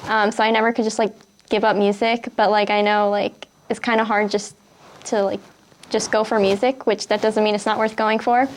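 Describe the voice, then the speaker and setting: A woman's voice: mid-range voice, flat delivery, normal speed. A 19-year-old woman with a Wisconsin accent, reading a word list and then answering interview questions hesitantly, with many fillers. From Kenosha, Wisconsin.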